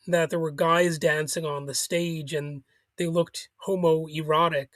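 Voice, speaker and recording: A man's voice: high-pitched, fairly bright tone, dry recording. A man hosting a solo political commentary show, arguing critically, with chuckles and small restarts.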